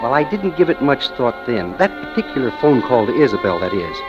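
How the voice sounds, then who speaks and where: Casting: man playing obsessive, smitten American bank clerk, lively highish voice, narrating vintage radio drama over music on a muffled recording. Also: brisk, natural voice. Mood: brooding, confiding. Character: smitten, obsessive male bank clerk telling his story. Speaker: narrator and lead actor.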